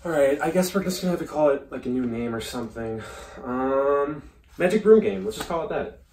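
A man's voice: fairly high pitch, a little fast. Young man playing a bumbling league manager. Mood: awkward. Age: young adult. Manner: awkward, rambling, deadpan asides. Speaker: mockumentary lead. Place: family house rooms and garage, indoors. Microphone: handheld camera a few feet off. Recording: roomy home echo, faint hiss.

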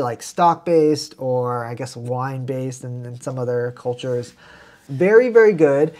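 A man in his thirties from the United States, co-hosting a travel vlog, chatting casually and enthusiastically about food with many fillers and restarts, on a lapel mic indoors. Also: fairly high pitch. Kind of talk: casual food recommendations to camera. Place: indoor home room with plants.

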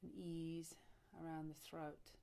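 Low, very slow woman guiding meditation. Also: monotone, warm tone. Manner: soothing, unhurried, with long pauses between short phrases. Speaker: yoga nidra teacher, meditation guide. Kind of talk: guided meditation, relaxation practice.

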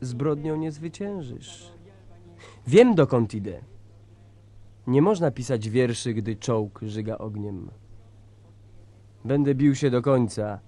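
An actor playing a defiant revolutionary idealist with a grave, deliberate dramatic delivery. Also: male voice, mid-range voice, animated, warm tone, no room sound.

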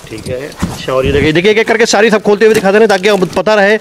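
A man's voice: sits high, expressive, fast talker. A man hosting a casual on-location promo walkthrough, chatty and repetitive. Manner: chatty, repeats "accha" and "dekhiye" often. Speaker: local news video host. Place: warehouse and roadside outdoors. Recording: noisy street and warehouse background.

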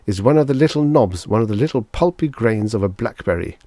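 A man's voice: fairly low pitch, animated, a little fast, warm tone, dry recording, studio quality, voice pushed up, close to an everyday voice. A man voicing a pompous, hearty elderly male doctor in an emphatic, condescending, lecturing way for an audiobook. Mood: stern, emphatic. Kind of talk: audiobook character acting.

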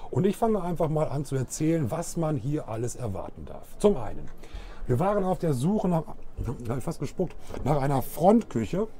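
Chatty middle-aged man with a mellow, higher voice. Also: a little hiss or hum. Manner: chatty, colloquial, addresses viewer directly. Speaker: vlog host presenting caravans. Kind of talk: casual walk-through product presentation. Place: caravan trade fair hall and inside a caravan. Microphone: wireless clip-on microphone.

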